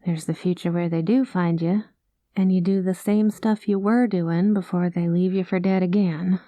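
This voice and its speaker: A woman playing a rogue space pilot in an ASMR roleplay, talking close to the mic in a soft, folksy cowboy drawl that drops into whispers. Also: fairly low pitch, fairly even intonation, moderate pace, no echo. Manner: soft-spoken, slips into whispers. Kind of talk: ASMR roleplay, spoken to the listener.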